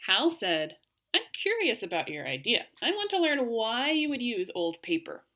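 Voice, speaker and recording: Expressive woman reading aloud, very lively pitch. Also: moderate pace, a little hiss or hum. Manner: expressive, clearly phrased for young listeners. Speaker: teacher reading aloud to pupils. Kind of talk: read-aloud storytelling and lesson talk for children.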